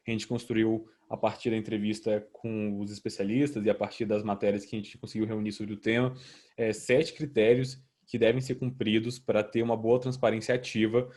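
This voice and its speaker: A man's voice: lowish voice, darkish tone. A young man with a Brazilian accent presenting a student project in a didactic, conversational but semi-formal way.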